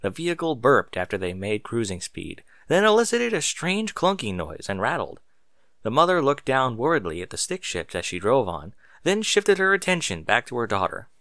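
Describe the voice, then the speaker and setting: A man reading scripted fiction as an audiobook narrator, with expressive storytelling. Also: medium pitch, moderate pace, no room sound, clean studio sound.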